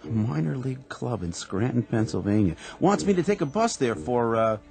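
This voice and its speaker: An actor playing a down-on-his-luck former pitcher in a radio drama, humble and eager. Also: animated, normal speed, creaky, darkish tone. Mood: plain. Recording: radio play with music underneath.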